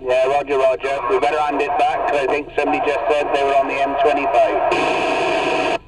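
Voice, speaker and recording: A man's voice: medium pitch, completely flat delivery, fairly quick. Male CB caller buried in heavy noise. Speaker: CB radio station calling in. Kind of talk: brief casual radio exchanges. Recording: distant, very noisy CB radio transmission.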